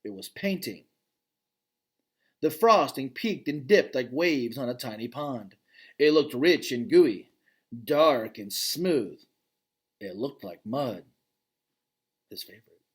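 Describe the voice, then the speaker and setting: A man reading a children's novel aloud as its gentle, thoughtful male gorilla narrator, in a calm, reflective way. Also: medium pitch, takes it slowly, long pauses, slightly rough, full character voice. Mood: wistful.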